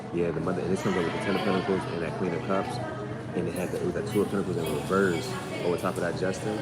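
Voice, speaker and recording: Male tarot livestream host, lower-pitched, casual and emphatic with repeated phrases, loud crowd-like background noise. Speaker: tarot reader hosting a livestream. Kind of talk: casual live tarot reading, part read aloud and part improvised.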